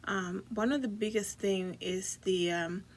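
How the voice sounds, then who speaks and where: Hesitant, husky woman vlogger. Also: mid-range voice, fairly even intonation, a little slow, poor audio. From Dominican Republic. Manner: hesitant, searching for words. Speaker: video blogger. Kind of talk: casual personal talk to viewers.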